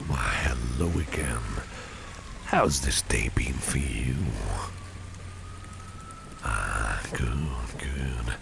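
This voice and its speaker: A man playing a courteous, doting businessman boss in an ASMR roleplay, speaking in a soothing, breathy half-whisper. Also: a bass voice, drawn-out words, long pauses, exaggerated voice. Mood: attentive, gently charming. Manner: gentlemanly, formal, soothing. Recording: noisy, with rain and street ambience.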